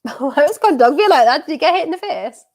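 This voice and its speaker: Woman streamer, softened Durham accent, higher voice, nervy and rambling, live match reaction. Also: fairly quick. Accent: County Durham, softened. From North East England. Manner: anxious, excitable, rambling and self-repeating. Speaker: football watchalong streamer.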